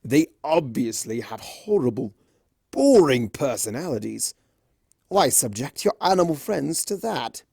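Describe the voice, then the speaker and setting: A sly male trickster spirit acted out by a story reader, mischievous and protective. The delivery is theatrical and drawling, swooping around in pitch like a cartoon schemer. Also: big pitch swings, unhurried, vocal fry, a little mellow, slightly rough, no room sound, studio quality, full character voice. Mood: protective, disdainful.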